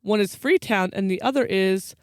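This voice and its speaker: A woman's voice: mid-range voice, normal speed, no room sound. Woman podcaster in a dry, close recording. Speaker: podcast co-host. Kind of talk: casual chat explaining folklore.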